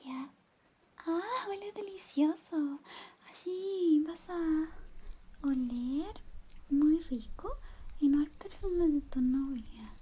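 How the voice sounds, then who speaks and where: A woman with a high, mellow voice plays a doting, playfully jealous best friend in an ASMR roleplay. She speaks slowly and softly, slipping in and out of whispers. Her manner is coy and teasing, with little murmured interjections. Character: affectionate, teasing, jealous young female best friend in love with the listener. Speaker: ASMR performer. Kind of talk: soft-spoken ASMR roleplay with personal attention, partly whispered.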